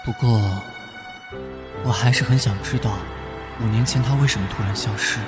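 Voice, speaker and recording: A man's voice: medium pitch, moderate pace, close to an everyday voice. A man playing an earnest, caring young male detective and protective older brother in an animation dub. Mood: wistful, pensive. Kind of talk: Mandarin animation dub acting with inner monologue. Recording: background music under the voice.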